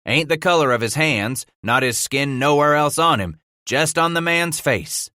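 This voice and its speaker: A man's voice: on the high side, expressive, moderate pace, bright, forceful tone, no room sound, clean studio sound, pitched above the performer's own voice. A man voicing a young, good-humored fur trapper leader in a rustic mountain-man dialect for an audiobook. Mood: amused, explaining.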